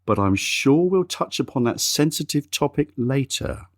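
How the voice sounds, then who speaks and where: A man's voice: medium pitch, lively intonation, normal speed. A middle-aged man hosting a horse racing podcast in an easygoing, chatty way. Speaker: podcast host. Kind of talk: casual podcast chat.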